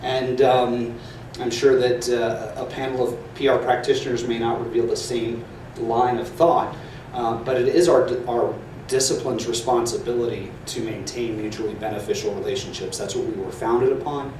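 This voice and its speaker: A man speaking on a conference panel in a measured, earnest way, with frequent fillers. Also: medium pitch, steady tone, darkish tone, a little hiss or hum. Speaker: panelist, public relations professor. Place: large echoey conference hall. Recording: echoey hall audio from far off.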